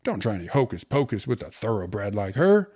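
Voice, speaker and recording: A man's voice: fairly low pitch, big pitch swings, a little fast, warm tone, slightly rough. A man voicing a cheerful, cocky young suitor who talks in eager, colloquial slang for an audiobook. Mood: gleeful, boastful. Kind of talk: audiobook character voice.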